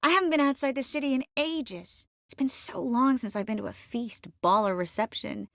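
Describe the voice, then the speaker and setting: An actress playing a young sorceress in a video game, warm and clever, with a wry, quietly determined manner. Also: female voice, on the high side, normal speed, gravelly, no room sound, clean studio sound. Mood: wistful, warm. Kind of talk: video game character dialogue.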